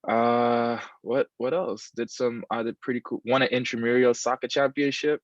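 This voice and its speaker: A man's voice: mid-range voice, level tone, normal speed, no echo. A man in his twenties from Guyana, talking casually as an interview guest, repeating himself with many fillers. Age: 20s. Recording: dull lo-fi sound.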